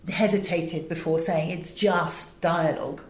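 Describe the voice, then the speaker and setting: English woman, kinda low voice, articulate interview chat about her work, echoey room sound. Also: steady tone, measured pace. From England. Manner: articulate, illustrates points with acted examples. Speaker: voice director and audiobook producer. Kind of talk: interview talk, explaining her craft.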